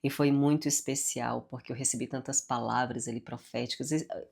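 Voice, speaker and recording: A woman's voice: very deep voice, steady tone, fairly quick, creaky, breathy edge. A woman with a congested, nasal voice teaching the Bible and praying, often adding "né?" and "amém?" as she speaks, with a Brazilian accent. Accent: Brazilian Portuguese. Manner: warm, frequent "né?" and "amém?" tags. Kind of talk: devotional Bible teaching with prayer.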